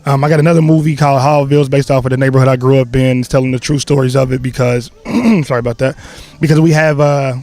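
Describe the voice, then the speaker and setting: A man talking casually in an interview, relaxed with many fillers and a cleared throat now and then. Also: medium pitch, fast. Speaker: creator of a stage play, interview guest.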